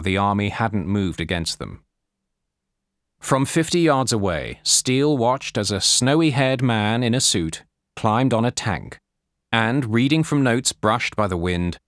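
A man reading scripted non-fiction as an audiobook narrator, in a measured, deliberate way. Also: on the low side, fairly bright tone, no echo, clean studio sound. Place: studio.

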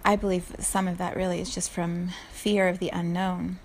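A woman in her forties who grew up in South Africa, speaking in an unhurried, thoughtful solo talk and laughing softly at herself now and then. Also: fairly low pitch, level tone, slight background noise. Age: 40s.

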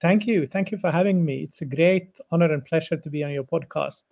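Gravelly, higher-pitched Finnish man. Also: a little mellow. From Finland. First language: Swedish. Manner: thoughtful, halting, frequent restarts. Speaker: guest lecturer. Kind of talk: conversational interview answers.

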